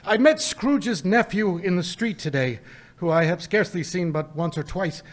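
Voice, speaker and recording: An actor playing a gentle, poor father who speaks tenderly to his family about his lost little son in a live radio play. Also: high-pitched, moderate pace, close to an everyday voice. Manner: tender, soft, choked-up.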